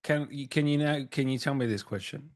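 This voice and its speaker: A man's voice: medium pitch, fairly quick, darkish tone. A man hosting a casual interview, chiming in with short eager replies and the odd swear word. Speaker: host.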